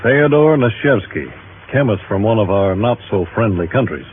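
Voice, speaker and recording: An actor playing a brisk, businesslike American government agent with a clipped, official manner in a 1950s radio drama. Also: male voice, lowish voice, animated, lightly acted. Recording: muffled noisy 1950s radio recording.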